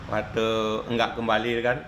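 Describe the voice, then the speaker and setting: A middle-aged man talks about family history in a low, flat voice. He halts often and leans on filler words. The recording is roomy, with noise clear behind him. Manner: halting, with filler words and trailing phrases. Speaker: interviewee, father. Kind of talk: casual family conversation.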